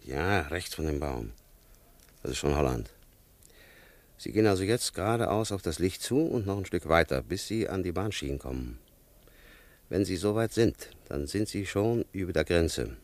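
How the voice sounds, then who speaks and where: A dutiful police officer in a radio drama. His voice is low and plain. He speaks tersely and matter-of-factly, with a bossy edge. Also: expressive, moderate pace, no room sound. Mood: hushed, instructing. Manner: terse, matter-of-fact orders. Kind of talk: German radio play acting.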